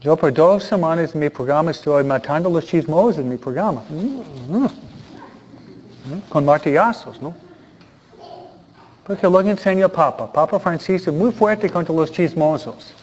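Priest, earnest preacher, fairly high voice, muffled lo-fi recording. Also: male voice, measured pace, a little mellow. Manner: earnest, preaching with repeated pleas and rhetorical questions. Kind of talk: sermon. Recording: dull lo-fi sound.

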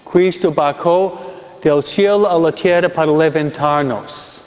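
High-pitched, slow-preaching man in his sixties. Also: darkish tone. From Michigan, United States. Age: 60s. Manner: deliberate, repeats phrases for emphasis. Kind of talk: sermon with personal anecdote. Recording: dull sound in long-reverberant hall.

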